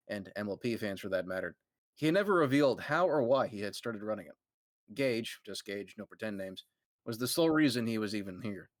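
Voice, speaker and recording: A man's voice: mid-range voice, no echo. Man reading fan fiction aloud to friends. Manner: quick, conversational reading, laughing asides. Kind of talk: read-aloud on a live stream with friends.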